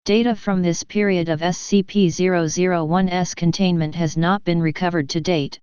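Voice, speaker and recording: A woman reading scripted narration of a formal document in a measured, impersonal manner. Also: fairly low pitch, steady tone, darkish tone, clear, resonant tone, no echo, highs cut off.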